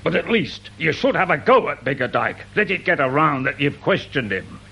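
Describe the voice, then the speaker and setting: A man's voice: on the high side, big pitch swings, normal speed, gravelly, sharp tone, slightly rough, exaggerated voice. An actor playing a pompous, fussy older British council chairman in a radio drama, indignant and insinuating. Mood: cautioning.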